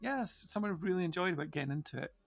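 A man's voice: high voice, lively intonation, racing, gravelly. A man speaking as a church minister on a podcast panel, reflecting casually and hesitantly as he thinks aloud.

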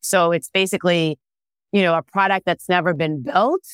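Israeli-born woman founder, low smooth voice. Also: fairly even intonation, normal speed, no echo, clean studio sound. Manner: thoughtful, leans on fillers like "you know" and "I mean". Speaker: startup founder and CEO, guest. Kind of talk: conversational interview answers.

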